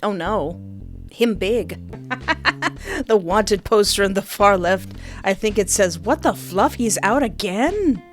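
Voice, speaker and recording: A woman game streamer with a playful, expressive way of talking, reading narration aloud and commenting as she plays. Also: mid-range voice, slow, soft background music.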